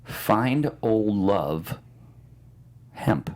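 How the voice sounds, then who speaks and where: A man hosting a relaxed quiz on a podcast, joking and rambling with lots of filler words. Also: fairly low pitch, drawn-out words. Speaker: host running a movie title quiz. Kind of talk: casual podcast banter.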